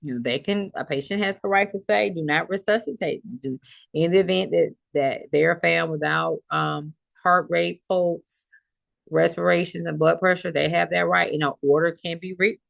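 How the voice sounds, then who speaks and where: Casting: lecturer for adult students, medium pitch, informal explanatory delivery that restates key points, dry recording. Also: fairly even intonation, moderate pace, vocal fry, fairly bright tone, no room sound. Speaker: instructor lecturing healthcare students.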